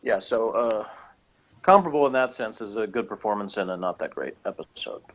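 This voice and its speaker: A man's voice: lowish voice, expressive, fairly quick. A man chatting casually as a reviewer, giving his opinions on an episode in a rambling way.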